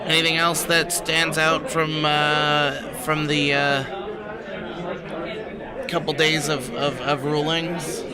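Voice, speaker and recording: A man hosting a casual event stream, talking haltingly and searching for words, with questions for his guest. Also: on the high side, flat delivery. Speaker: stream host asking questions. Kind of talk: casual unscripted chat. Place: gaming tournament hall. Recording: busy crowd chatter in event hall.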